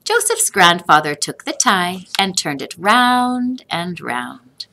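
A middle-aged woman reading a children's picture book aloud as a teacher, expressive and deliberate, with sing-song repeated phrases. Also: on the low side.

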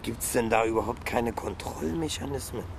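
A man plays a police detective in a radio play, mid-pitched and colloquial. He is chummy but sardonic, talking over clear city traffic noise. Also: normal speed, natural voice. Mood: skeptical, sardonic. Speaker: actor in a radio crime drama. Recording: loud urban street noise.

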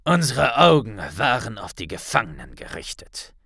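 A man voicing a grave, authoritative middle-aged wizard official with a gruff, deliberate delivery in an audiobook. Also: medium pitch, sing-song, pushed and bright, no room sound, exaggerated voice.

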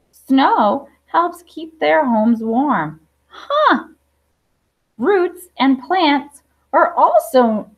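A woman's voice: on the high side, lo-fi sound. A woman around her thirties, a teacher reading aloud to young children, enunciating and adding chatty questions to her listeners. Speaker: primary school teacher. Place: indoor classroom.

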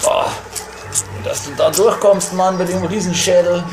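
A man's voice: sits high, steady tone, normal speed, music in the background. A man playing a cocky, laid-back trophy hunter in an amateur radio play, talking in slang peppered with English dude words. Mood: straining, grumbling. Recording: roomy, very noisy outdoor ambience, engine noise.

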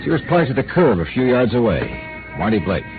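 An actor playing a dry, skeptical insurance investigator who narrates a 1950s radio drama. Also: male voice, medium pitch, very animated, a little fast, loud music behind the voice. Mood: narrating. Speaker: narrator and lead. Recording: tinny old radio transcription.

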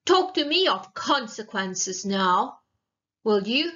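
Woman putting on a cold, scornful old-tale witch, slow and imperious. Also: a little high, very animated, a bit bright, muffled recording, full character voice. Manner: curt, imperious, deliberate.

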